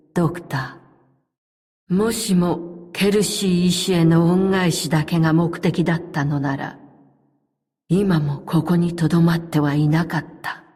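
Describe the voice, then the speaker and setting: A woman voicing a calm, stoic, loyal elite operative in a game, speaking in formal, measured, terse lines. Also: lowish voice, big-room sound. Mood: calm, restrained. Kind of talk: scripted game character voice lines.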